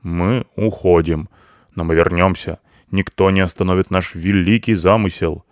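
A man's voice: deep voice, fairly even intonation, moderate pace, dry recording, clean studio sound, lightly acted. A man voicing a stern, pompous beaver foreman in an animal story, speaking like a grandiose official.

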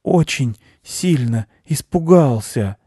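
A man voicing a small, proud, frightened but plucky storybook hare in a shrill, dramatic, boastful manner. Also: animated, slow, dark tone, breathy edge, no room sound, clean studio sound. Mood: faint, proud.

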